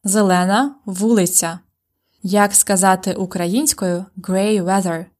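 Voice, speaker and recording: A woman with a Ukrainian accent teaches a podcast language lesson. She voices each example word slowly and clearly so learners can copy it. Her voice sits at an ordinary middle pitch for a woman. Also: steady tone, a little mellow. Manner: clear, slow model pronunciations.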